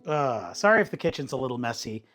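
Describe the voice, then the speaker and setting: A slightly hoarse man chatting casually with viewers while cooking in his home kitchen, rambling in an easygoing way and chuckling often. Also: medium pitch, very animated, quick pace, fairly bright tone, faint music behind. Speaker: streamer.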